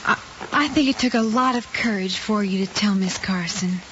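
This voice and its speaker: A woman's voice: mid-range voice, moderate pace, fairly bright tone, a little hiss or hum, natural voice. An actress playing a sincere, hesitant young woman student in an old screen drama. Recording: dull old film soundtrack.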